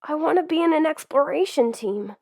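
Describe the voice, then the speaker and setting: A woman's voice: sits high, fairly quick. A woman voicing an earnest, impulsive young electric cub Pokémon in a fan comic dub. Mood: pleading. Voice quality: earnest and excitable.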